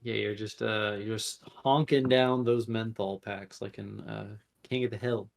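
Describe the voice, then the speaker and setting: A man co-hosting a casual podcast, with sarcastic deadpan asides and some swearing. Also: on the low side, measured pace, vocal fry. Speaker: podcast co-host. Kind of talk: casual chat.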